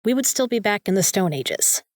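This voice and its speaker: A sarcastic, opinionated young female student played by a woman in an audio drama. She speaks emphatically and bossily at a middling pitch. The sound is close and dry, with no room around it. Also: lively intonation, normal speed, no echo, lightly acted. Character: bossy, sarcastic young female student, vampire slayer's sidekick.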